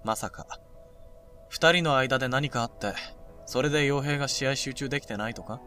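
A young man acting a blunt, provocative high school junior in an audio drama. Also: normal speed, bright, forceful tone, faint music behind, lightly acted. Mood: probing, provocative.

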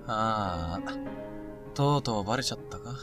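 An actor playing a cool, provocative high school boy and romantic rival in an audio drama, taunting and smooth-tongued. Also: animated, slow pace. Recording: music under the dialogue. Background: music.

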